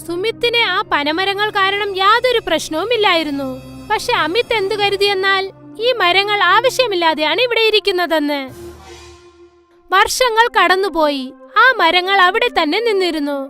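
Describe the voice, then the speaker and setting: A woman's voice: very high voice, pushed and bright. A woman narrating a scripted children's fairy tale as storyteller. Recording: faint music under the voice.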